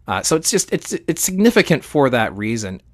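A man's voice: fairly high pitch, very animated, fairly quick, dry recording, studio quality. A man co-hosting a podcast, explaining history in an enthusiastic, conversational and emphatic way. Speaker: podcast co-host.

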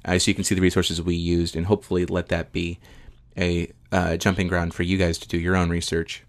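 A man's voice: sits low, completely flat delivery, fairly quick. A man hosting a podcast in an unscripted, conversational style. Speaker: podcast host.

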